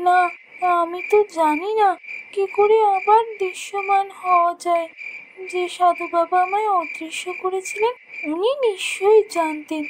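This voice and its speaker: A woman voicing a gentle, earnest young village woman in a fairy-tale cartoon, speaking expressively. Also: sits high, noisy background. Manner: expressive, earnest storybook delivery. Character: gentle lonely young village woman, cursed invisible, grateful. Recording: music behind the voice.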